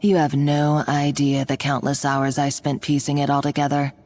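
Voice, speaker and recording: A woman's voice: deep voice, little pitch movement, moderate pace, darkish tone, natural voice. An actress playing a scornful, scheming woman villain in a video game, talking down to her accomplice. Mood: mocking. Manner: mocking, condescending.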